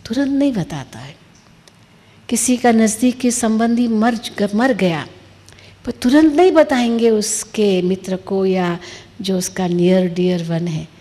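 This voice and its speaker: Slow woman preaching in an echoing hall. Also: medium pitch, warm tone. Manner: didactic, asks rhetorical questions and answers them, repeats phrases for emphasis. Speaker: spiritual teacher giving a discourse. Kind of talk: spiritual discourse with everyday analogies and parables. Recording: long reverberant hall echo.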